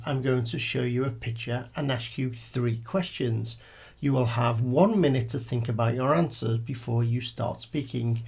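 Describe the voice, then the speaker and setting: Guy reading test instructions, gravelly n raspy, formal, scripted. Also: medium pitch, a little mellow. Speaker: exam examiner and channel presenter.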